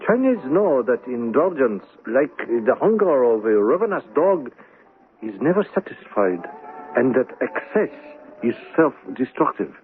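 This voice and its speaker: Elderly philosophical gentleman, radio drama, dry and courteous, deliberate, expressive pitch, narrow-band old radio. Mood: grave, thoughtful. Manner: formal, deliberate, sententious. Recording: old radio broadcast sound.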